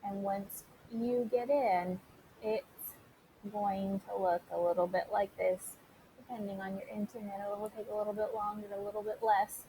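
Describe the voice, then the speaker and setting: A woman teacher giving an informal software tutorial, talking listeners through it step by step and addressing them directly. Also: medium pitch, steady tone, normal speed, a little hiss or hum, low-quality recording. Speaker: teacher recording a tutorial for students.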